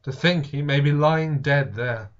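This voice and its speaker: A man reading a storybook aloud as a shaken, earnest Victorian gentleman, speaking with theatrical emphasis. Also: a little high, unhurried, lightly acted. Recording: dull lo-fi home recording.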